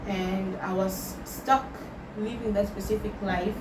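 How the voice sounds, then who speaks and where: A young woman from Tanzania, talking slowly and thoughtfully in a personal vlog and slipping Swahili words into her English. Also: mid-range voice, steady tone. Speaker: vlogger. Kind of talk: reflective personal vlog, answering questions. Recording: roomy sound from a distant mic with loud background noise.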